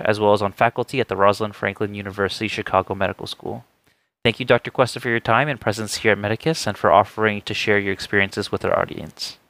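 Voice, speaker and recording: A man's voice: fairly low pitch, fairly bright tone, no room sound. A young man hosting a student podcast, giving a formal, polite introduction and asking interview questions. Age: young adult.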